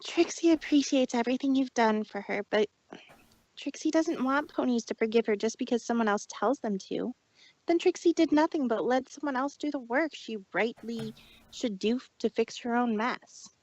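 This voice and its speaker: Woman voicing a female show pony, fairly high voice, haughty, proud, wounded, grand third-person manner, fan audio drama. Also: moderate pace, a little mellow, no room sound, dull audio. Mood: serious, dejected. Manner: haughty, self-referential third-person speech.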